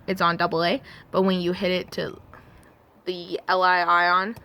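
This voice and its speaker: A woman's voice: lowish voice, completely flat delivery, a little slow, bright, forceful tone. A woman giving a casual, chatty hands-on product demo, correcting herself as she goes. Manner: informal, chatty, self-correcting. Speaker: product reviewer.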